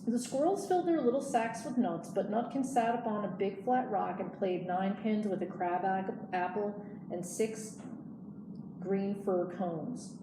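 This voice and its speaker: A woman's voice: medium pitch, a little slow. Woman reading a storybook, echoey room. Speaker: teen librarian, storyteller. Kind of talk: storybook read-aloud for children. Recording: echoey room, clear background noise.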